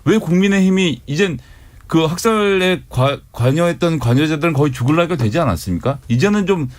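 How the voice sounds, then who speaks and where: A man's voice: a little high, expressive, fairly quick. A party spokesman in a radio studio debate, a man making a pointed, critical argument.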